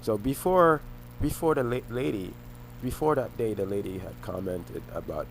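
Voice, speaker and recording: A young man talking to the camera in a rambling monologue, often halting, repeating himself and restarting phrases. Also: medium pitch, expressive, slight background noise, poor audio. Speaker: vlogger.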